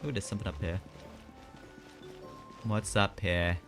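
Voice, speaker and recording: A man's voice: low-pitched, steady tone, a little slow, fairly bright tone. A young man doing casual live gameplay commentary, chatty and slangy with mild exclamations. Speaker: game streamer. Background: game music.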